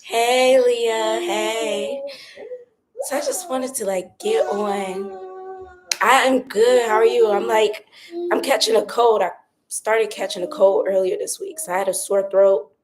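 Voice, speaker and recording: A young woman chats casually on a live stream, her voice raspy and hoarse from a cold. She keeps it soft and doesn't push for volume. She is recording on her phone outdoors by her patio. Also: on the high side, animated, unhurried, a crowd in the background. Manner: talks softly, holding back her voice. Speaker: vlogger doing a live stream. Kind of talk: casual chatty live update.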